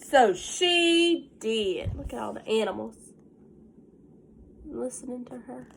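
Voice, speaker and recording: Slow-paced woman teacher reading to children. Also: a little high, expressive, creaky, a little hiss or hum. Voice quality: congested from pollen. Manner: simple explanations and checking questions to children. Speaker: elementary school teacher. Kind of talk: read-aloud lesson for young children.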